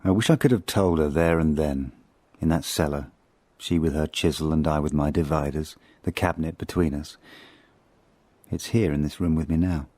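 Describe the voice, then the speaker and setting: Middle-aged spy narrator, deep voice, close dry sound, brooding, wry, measured, confessional radio drama. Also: male voice, warm tone, dry recording. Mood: brooding, regretful. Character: reflective, confessional middle-aged spy recalling his past.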